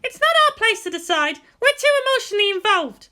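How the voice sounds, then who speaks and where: A woman voicing a fiery, indignant, bratty teenage girl who talks in loud, huffy accusations in a story reading. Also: very high-pitched, big pitch swings, fairly quick, pitched above the performer's own voice, heavily acted.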